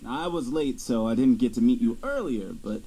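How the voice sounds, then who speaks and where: A man's voice: mid-range voice, expressive, normal speed, warm tone, slightly rough, poor audio. An actor playing a young male athlete, guarded and curious, narrating his own story in an audio drama. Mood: puzzled, introspective. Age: young adult. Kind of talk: first-person audio drama narration and dialogue.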